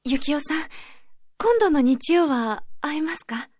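A woman's voice: high-pitched, lively intonation, measured pace, a little mellow. An actress playing a polite, earnest female office worker who is sweet on her boss in a radio drama. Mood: shyly hopeful. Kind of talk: Japanese radio drama acting.

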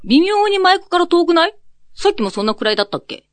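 A woman's voice: extremely high pitch, big pitch swings, fast talker, no room sound. A woman hosting an online radio show with casual, teasing, giggly banter.

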